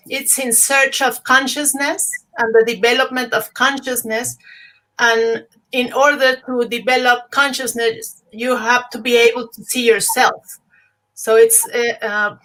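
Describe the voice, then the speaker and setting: A Mexican woman with a Spanish-native accent and a bright, slightly high voice. She explains ideas in a measured, patient way, as if teaching a newcomer. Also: rough recording. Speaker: guest. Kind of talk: calm spiritual explanation.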